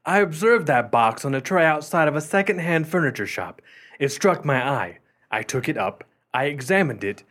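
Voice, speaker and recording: A man playing a pompous, competitive antiquities collector in a radio play, with formal, wordy, deliberate delivery. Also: on the high side, lively intonation, fairly bright tone. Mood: self-important, recounting.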